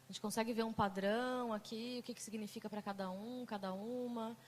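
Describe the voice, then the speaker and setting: Brazilian woman running a group talk, kinda high voice, lots of fillers. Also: fairly even intonation, brisk, a little hiss or hum. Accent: Brazilian Portuguese. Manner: chatty, with many fillers and restarts. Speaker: mediator.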